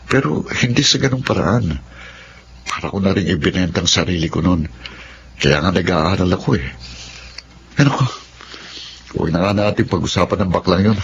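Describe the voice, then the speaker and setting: Isang lalaking gumaganap sa dramang panradyo bilang masipag at may prinsipyong binatang nag-aaral, sa kulob na recording. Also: male voice, sits low, normal speed, pauses often, slight background noise. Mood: firm, polite. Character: earnest, principled, dutiful young working student. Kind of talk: Filipino radio drama acting and narration. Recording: dull lo-fi audio with music.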